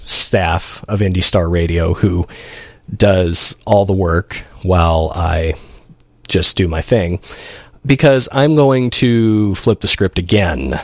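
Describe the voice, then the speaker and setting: A man's voice: low-pitched, slow pace, no echo. A man hosting a live radio show, chatting casually and rambling off into asides as he introduces things. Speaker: radio host and DJ.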